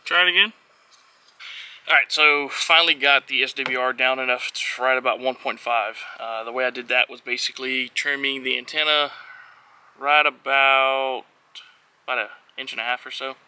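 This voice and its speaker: A man's voice: medium pitch, fairly even intonation, moderate pace, pushed and bright, smooth. A man giving a casual, unscripted how-to walkthrough as a vlogger, talking in an offhand, hesitant way with trailing phrases. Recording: noisy on-location vlog audio.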